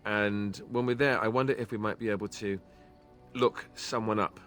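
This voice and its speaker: An actor playing an earnest, gentle young warrior in a fantasy game, speaking with hesitant sincerity. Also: male voice, fairly low pitch, steady tone, moderate pace, soft background music, close to an everyday voice. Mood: hesitant, sincere.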